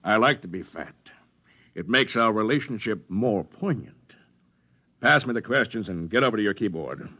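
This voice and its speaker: A man's voice: lowish voice, lively intonation, moderate pace, sharp tone, breathy edge, exaggerated voice. Smug, bullying fat man, sardonic and domineering, fifties radio drama style. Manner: bossy, sardonic. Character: gruff, smug, bullying fat man secretly posing as computer. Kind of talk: 1950s radio drama acting.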